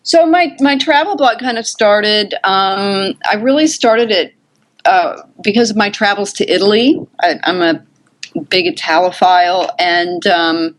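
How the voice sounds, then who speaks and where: A woman from Texas, a guest on a podcast interview, talking about her work in a relaxed, chatty way with many ums and you-knows. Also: mid-range voice, a little slow. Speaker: podcast guest, makeup artist and travel blogger. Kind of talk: casual interview chat.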